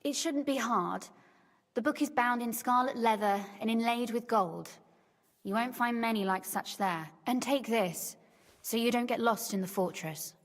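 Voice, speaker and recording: A woman's voice: on the high side, normal speed, in a large hall, close to an everyday voice. An actress playing a shrewd, teasing young medieval noblewoman in a video game, speaking in articulate, formal phrases. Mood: careful, caring. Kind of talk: scripted game dialogue.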